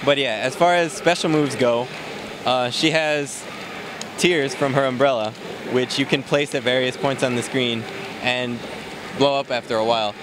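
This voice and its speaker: Man, mid pitch, relaxed game walkthrough, noisy event recording. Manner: relaxed, explanatory, many fillers. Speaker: game developer demoing a character. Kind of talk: casual gameplay walkthrough. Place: convention floor, noisy.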